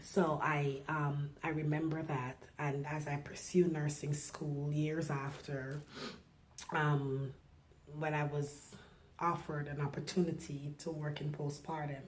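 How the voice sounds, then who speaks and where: A middle-aged woman from Guyana, a nurse, speaking softly and reflectively in a personal interview, pausing often with fillers. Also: sits low, little pitch movement, a little hiss or hum. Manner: soft-spoken, reflective, halting with fillers.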